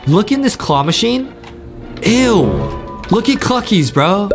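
Excitable high-voiced young man. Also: slow. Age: young adult. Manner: excitable, repetitive, addresses viewers. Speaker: gaming video host. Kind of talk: excited gameplay commentary. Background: faint music.

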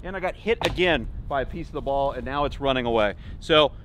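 A man's voice: mid-range voice, fast, heavy background noise. A man in his thirties talking casually to camera outdoors as a vlog host, with a laid-back, wry, informal manner. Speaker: host of a shooting channel. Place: outdoors at a wooded backyard shooting range.

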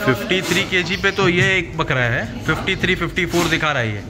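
A man's voice: sits high, fairly quick. A middle-aged man casually touring a goat farm as a vlogger, admiring the animals and reading out weights and prices. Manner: admiring, repeats figures aloud, frequent exclamations of praise. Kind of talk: casual walkthrough chat. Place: outdoor goat farm sheds. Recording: outdoor farm noise with goats bleating. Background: goats bleating, farm ambience.

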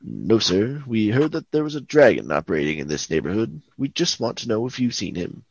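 A man acting a deadpan, polite knight detective in a parody of fifties radio police drama, with a husky voice. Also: fairly low pitch, fairly quick, dry recording, dull audio, exaggerated voice. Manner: deadpan, clipped police patter.

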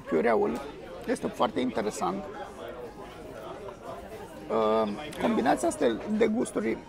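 A man's voice: sits high, huge pitch swings, gravelly. A young man, enthusiastically reviewing food to a camera on the table at an outdoor restaurant terrace. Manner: enthusiastic, exclaims while tasting. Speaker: food vlogger. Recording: crowd chatter on a terrace. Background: diners chattering.

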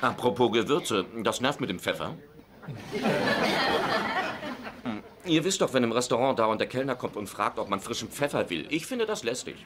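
An actor playing a grumbling, sarcastic husband in a German-dubbed sitcom. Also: male voice, medium pitch, big pitch swings, fairly quick, lightly acted. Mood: chatty. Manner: sarcastic, griping. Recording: noisy sitcom soundtrack.

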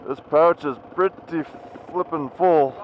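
A young man chatting casually in slang, with an excitable, playful manner. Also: a little high, a little slow. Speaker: dirt bike rider and vlogger. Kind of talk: casual chat. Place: garage and outdoor dirt trails. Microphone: action camera. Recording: muffled, loud engine and wind noise.

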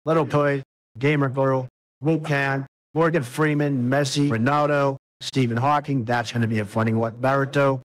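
A man voicing a male character through an AI voice changer, listing software features. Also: mid-range voice, steady tone, moderate pace. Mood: matter-of-fact.

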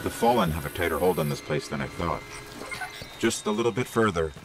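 Eager, lively little robot companion. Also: male voice, fairly low pitch, a little fast, gravelly, exaggerated voice. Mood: alarmed, urgent. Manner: earnest, urgent, expressive. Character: small floating robot companion, eager, anxious and protective. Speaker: guide character in a science-fiction shooter game. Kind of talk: cinematic game voice acting. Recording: loud cinematic music behind the voice. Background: loud music.

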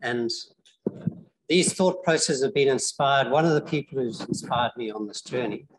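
A man's voice: mid-range voice, moderate pace, background noise. An older man giving an informal lecture in a lecture theatre, chatty and rambling with frequent restarts. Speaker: guest lecturer.

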